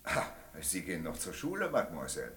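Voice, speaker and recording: An actor playing a stern judge questioning witnesses in a 1960s radio play. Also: male voice, sits low, huge pitch swings, a bit bright, echoey room, heavily acted. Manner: formal, measured, authoritative. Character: stern middle-aged male judge.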